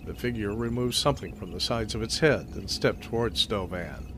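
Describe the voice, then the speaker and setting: A man with a low voice narrates a scripted science fiction audio drama. Clear ambient noise runs behind his storytelling. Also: moderate pace.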